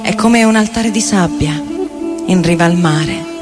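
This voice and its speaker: A woman giving a theatrical recitation of poetry in deliberate, short phrases. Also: on the low side. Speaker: theatre reader and vocal performer. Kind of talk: poetic theatrical recitation over music. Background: experimental guitar and electronic loops.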